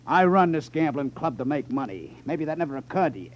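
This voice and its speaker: A menacing club racketeer in old radio crime drama style. His voice is a little high and swings widely in pitch as he taunts and sneers. The recording is dull and lo-fi. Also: sing-song, a little fast. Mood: scornful, threatening. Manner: sneering, taunting, hesitates slyly for effect. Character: tough, menacing gambling club boss, a sly racketeer.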